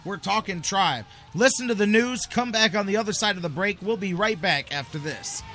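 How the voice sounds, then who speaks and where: A man with an American accent hosts a sports radio show. His voice sits on the high side and he sells each line emphatically, with music playing underneath. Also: normal speed. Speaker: sports talk show host. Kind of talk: sports radio talk and ad reads.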